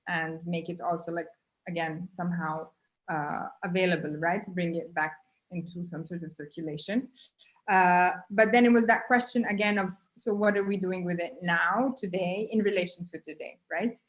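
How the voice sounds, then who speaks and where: Guest artist, woman, slightly low voice; halting, filler-heavy casual storytelling. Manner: halting, many "you know, like" fillers.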